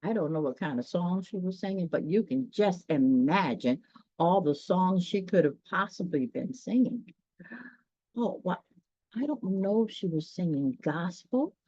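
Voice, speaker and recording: A woman's voice: on the low side, lively intonation, measured pace, mellow, no room sound, lo-fi sound. A woman reading a children's story aloud expressively, with folksy, chatty asides to her listeners.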